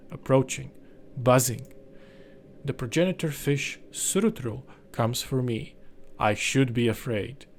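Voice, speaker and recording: Man narrating a gothic game over music. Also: medium pitch. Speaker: gaming channel host. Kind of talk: game overview commentary mixed with reading the game's story text aloud. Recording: music under the voice.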